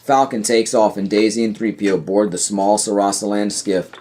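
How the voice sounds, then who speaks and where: Low-voiced man reading script directions. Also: steady tone, moderate pace, a little mellow. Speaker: narrator. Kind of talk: scripted narration, screenplay stage directions.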